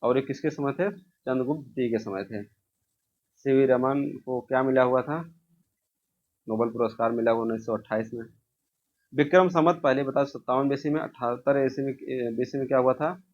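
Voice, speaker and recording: A man's voice: medium pitch, moderate pace, long pauses, darkish tone, slight background noise. A man teaching an informal exam review, asking questions and answering them himself, repeating key answers. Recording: dull, lo-fi sound.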